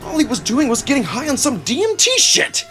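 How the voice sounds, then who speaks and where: A man reading a visual novel in the first person as a theatrical, expressive narrator. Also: very high-pitched, fairly quick. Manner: theatrical, expressive, acts out emotion. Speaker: narrator and performer. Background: music.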